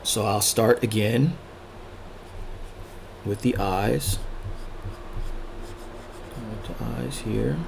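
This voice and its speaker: Male art instructor, low gravelly voice slightly tired from talking, informal think-aloud delivery over faint background noise. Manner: unhurried, thinking aloud in short fragments. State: losing his voice, throat-clearing. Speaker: art tutorial host, illustrator. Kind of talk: casual drawing tutorial narrated while sketching.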